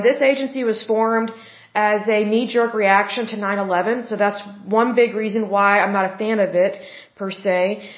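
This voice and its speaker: A woman hosting a solo podcast in a casual, opinionated way, her voice a bit hoarse as it recovers, with frequent ums. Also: on the high side. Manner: opinionated, conversational, many ums. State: recovering hoarse voice, clearing throat. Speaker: podcast host. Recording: roomy, dull lo-fi audio.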